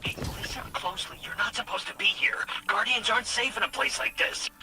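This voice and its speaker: An actor playing a nervy, shifty robot turncoat in a video game, stammering and evasive in slangy talk. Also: male voice, very high voice, brisk, music in the background. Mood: urgent warning. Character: nervy, shifty, fast-talking turncoat robot companion, male.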